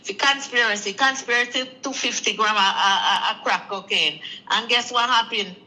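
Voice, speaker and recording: A man's voice: sits high, moderate pace, sharp tone, poor audio. A man in his late sixties with a Jamaican accent, calling in and chatting easily in Patois-flavoured speech. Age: 60s. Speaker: caller. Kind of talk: casual call-in chat.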